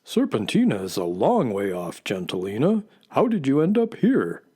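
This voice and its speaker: A man playing a dry, low-key nonhuman companion in a children's radio play. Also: huge pitch swings, normal speed, mellow, breathy edge, soft background music. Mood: concerned. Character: low-voiced, mellow, dry nonhuman companion without fingers.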